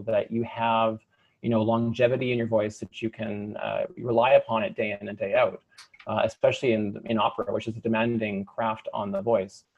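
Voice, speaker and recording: Gravelly, husky-voiced young male tenor. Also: medium pitch, darkish tone, lo-fi sound. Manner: thoughtful, halting with restarts and fillers. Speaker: opera singer, light lyric tenor. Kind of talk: interview conversation.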